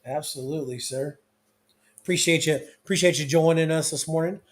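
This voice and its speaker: A hoarse young man hosting a casual football talk show, talking loosely with lots of restarts. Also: a little high, fairly quick. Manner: loose, conversational, with many restarts. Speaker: sports talk show host.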